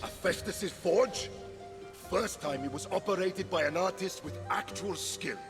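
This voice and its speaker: An actor playing a vain, melodramatic and cowardly Greek god of war in a video game, with a theatrical, exclamatory delivery. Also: male voice, sits high, unhurried, over music. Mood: grandiose, confiding. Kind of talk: animated video game cutscene acting.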